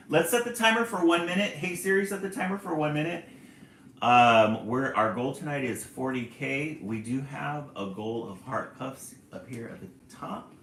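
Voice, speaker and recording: A man's voice: mid-range voice, a bit bright, a little hiss or hum. A man in his forties hosts a casual live stream, chatting away and reading viewer comments aloud with a laugh never far off. His voice swings up and down a lot, and the room around him rings with echo. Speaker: livestream host. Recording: roomy echo.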